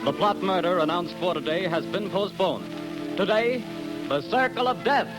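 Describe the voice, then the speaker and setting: A man announcing a sponsor's message on an old radio show in a booming, emphatic way. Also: normal speed, low-quality recording. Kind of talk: 1930s radio advertisement announcing. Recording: old radio recording with music.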